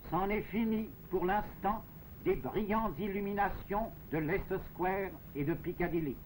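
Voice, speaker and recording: A man delivering formal, measured, scripted narration in the declamatory style of a 1940s propaganda film. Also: high voice, a little hiss or hum, rough recording. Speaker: newsreel narrator. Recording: 1940s film soundtrack with music. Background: music.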